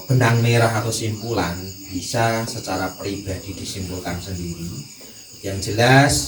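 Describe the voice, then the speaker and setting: Casting: male host, Javanese accent, lowish voice, moderating casually, picked up from a distance. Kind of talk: informal talk moderation. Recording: distant echoey recording, faint insect noise.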